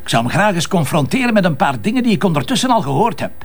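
Stubborn, probing Flemish police inspector for radio drama; creaky voice, swinging pitch, colloquial delivery. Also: male voice, very animated, fast talker, slight background noise, heavily acted. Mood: stubborn, insistent. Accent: Flemish Belgian. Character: stubborn, teasing male Flemish police inspector trusting his intuition. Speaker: actor in a detective audio drama. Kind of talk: naturalistic radio drama dialogue.

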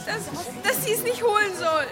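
Young village girl, very high voice, emotional, hurt n defiant, music behind, audio drama. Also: normal speed, a crowd in the background. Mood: guilty, distraught. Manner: emotional, plaintive. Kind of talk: German audio drama acting, emotional dialogue.

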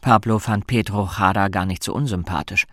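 A man's voice: on the low side, fairly quick, fairly bright tone, no echo, studio quality. A man narrating a suspense radio play as a composed storyteller. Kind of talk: scripted radio drama narration.

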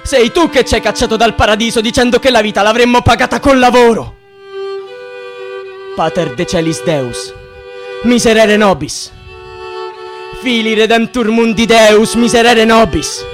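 An actor playing an embittered young student in a radio drama, speaking in solemn, rhetorical, litany-like phrases. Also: male voice, extremely high pitch, unhurried, long pauses, fairly bright tone, soft background music. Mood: anguished, shouting. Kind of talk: theatrical radio drama monologue, litany-like chanting.

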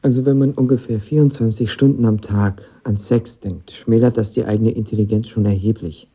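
Radio drama lead: a confessional, lovestruck twenty-year-old, insecure and intense, slightly muffled sound. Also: male voice, fairly low pitch, fairly even intonation, brisk, breathy edge. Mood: anxious, pleading. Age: young adult. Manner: earnest, confessional. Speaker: lead actor and narrator. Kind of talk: radio drama, intimate narration and dialogue.

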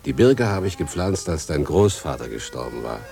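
A man's voice: a bass voice, sing-song, normal speed, gravelly, mellow, faint music behind, full character voice. An actor playing a wistful fifty-year-old farmer and father in a radio play, ruminative, earthy and plain-spoken. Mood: wistful, brooding. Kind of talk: German radio drama acting.

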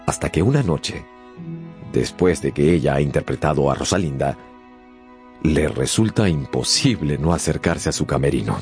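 A man narrating a radio drama as a scripted story, theatrically and with deliberate pauses. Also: very deep voice, lively intonation, a little mellow. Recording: music behind the voice.

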